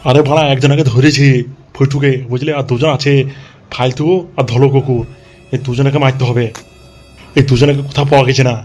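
A man playing a vengeful, irritable, foul-mouthed politician boss in an amateur comedy cartoon, gruff and scolding. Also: mid-range voice, level tone, brisk, a little mellow, faint music behind, close to an everyday voice. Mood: agitated.

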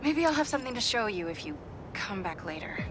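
High-voiced concerned journalist. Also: female voice, big pitch swings, fairly quick. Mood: guarded. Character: concerned, candid young female journalist girlfriend. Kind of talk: naturalistic video game acting. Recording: clear rumbling background noise.

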